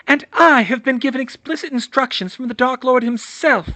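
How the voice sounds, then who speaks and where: A man voicing a cruel, manic witch woman who hisses and shrieks in a frantic, shrill way. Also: moderate pace, sharp tone, no room sound. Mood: furious.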